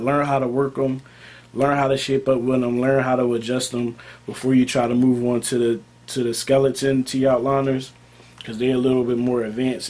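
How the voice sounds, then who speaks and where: A man's voice: medium pitch, a little mellow. A young American man speaking informally and emphatically as a barber hosting a video, often repeating his key points. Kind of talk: casual product talk to camera.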